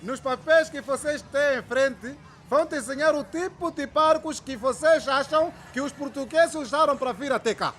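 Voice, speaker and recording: Very high-pitched keen young-teacher figure. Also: male voice, normal speed, hardly any pauses, a bit bright. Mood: instructive, encouraging. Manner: instructive, checking with questions. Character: keen, patient male trainee school history teacher. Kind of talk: radio drama acting. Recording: faint background noise.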